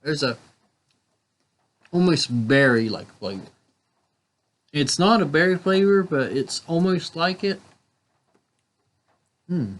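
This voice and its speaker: A man with a slightly raspy voice with a country vibe, chatting casually and musing with many fillers while reviewing cigars. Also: a little high, lively intonation, takes it slowly, pauses often. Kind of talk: casual chat.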